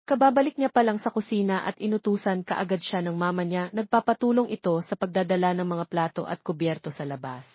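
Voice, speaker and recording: A woman's voice: lowish voice, animated, a little fast. A Filipino woman reading a scripted romance story as its narrator. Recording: dull, roomy, lo-fi audio.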